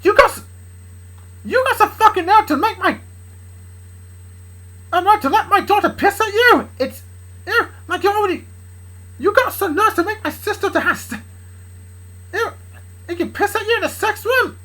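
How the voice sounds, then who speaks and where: A woman's voice: mid-range voice, animated, fast, pauses often, sharp tone, breathy edge, pitched above the performer's own voice, low-quality recording. A woman voicing an angry, controlling father in a story, sputtering, threatening and repeating himself. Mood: enraged.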